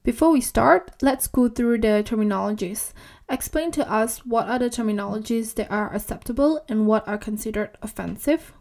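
Higher-voiced woman hosting a podcast. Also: moderate pace, creaky, a little mellow. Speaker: podcast host. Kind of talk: podcast interview, prepared introduction and questions.

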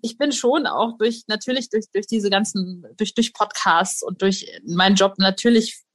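A woman chatting casually as a podcast host, explaining things colloquially with examples. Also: medium pitch. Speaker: podcast host and music presenter. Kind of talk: casual interview chat.

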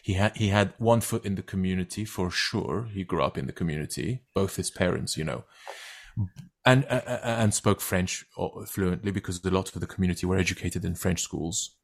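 A middle-aged man who grew up speaking Turkish talks as a guest, his voice very deep with a gravelly fry at the bottom. He speaks haltingly, restarting phrases and filling gaps with "uh" and "you know.". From Turkey. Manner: halting, many restarts and fillers. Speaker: guest actor. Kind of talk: casual conversation.

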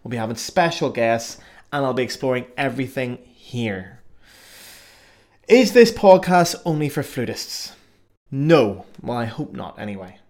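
A man's voice: mid-range voice, expressive, a little slow, a little mellow. A young man with a Belfast accent, hosting a solo podcast in a chatty, self-mocking way with frequent fillers. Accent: Belfast Northern Irish. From Northern Ireland. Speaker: podcast host. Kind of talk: casual solo podcast monologue.

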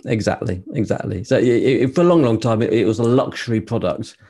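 A man's voice: medium pitch, a little fast, dark tone, breathy edge. A man interviewing a guest, asking questions with stammered restarts and polite agreement.

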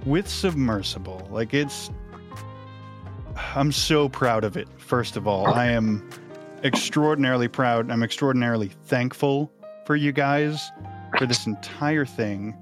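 A man's voice: mid-range voice, unhurried, soft background music. Man chatting casually as podcast host. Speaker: podcast host and audio drama creator. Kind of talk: casual behind-the-scenes chat.

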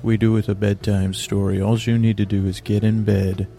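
Gravelly, dark-toned man rambling slowly. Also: on the low side, flat delivery, faint music behind. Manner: meandering, halting, with restarts and filler words; slow drowsy lulling delivery. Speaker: podcast host. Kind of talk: rambling bedtime monologue.

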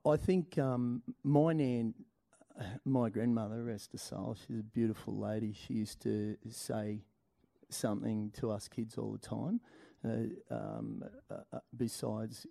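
A man speaks as a panel guest, working through his thoughts with plenty of ums. His voice is dark and mellow with a gravelly edge. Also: on the low side, unhurried. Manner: hesitant, full of ums. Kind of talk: live podcast panel chat.

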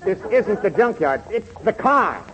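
A man's voice: high-pitched, very animated, unhurried, muffled recording, a crowd in the background. An actor playing a wisecracking, sly American used-car salesman with wry delivery in a 1940s radio comedy. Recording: noisy old radio broadcast, audience behind.

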